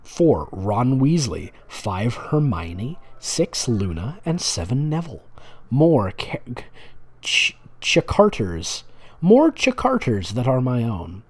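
A man's voice: mid-range voice, wildly swooping pitch, slow pace, breathy edge. A man doing a casual comedic read-aloud, breaking off into sarcastic, mocking asides as host. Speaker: host reading and mocking fan fiction.

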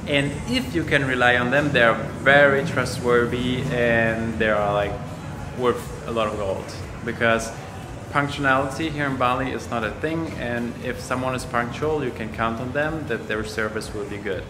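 A man's voice: medium pitch, fairly even intonation, moderate pace, background noise. A man in his twenties with a German accent, talking casually and explaining things to the camera as a vlogger. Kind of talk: casual vlog talk to camera. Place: villa rooms, a furniture shop, roadside. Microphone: camera at arm's length.

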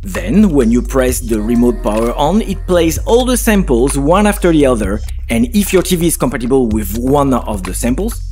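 A man's voice: mid-range voice, sing-song, moderate pace, hardly any pauses, darkish tone, soft background music. A man reading a scripted tutorial narration, explaining things step by step in an instructional way. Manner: clear step-by-step instructional delivery.

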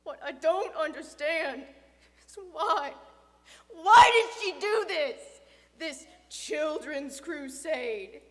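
A woman voicing a stern, suspicious, grieving middle-aged father in an audiobook, forceful and emotional. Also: on the high side, animated, unhurried, long reverb tail. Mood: anguished. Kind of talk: dramatic audiobook character acting.

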